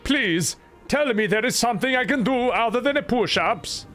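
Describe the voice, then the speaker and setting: Man putting on a high, boastful muscleman. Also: expressive, normal speed, fairly bright tone, soft background music. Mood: boastful, weary. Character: boastful muscle-bound Swedish bodybuilder game character.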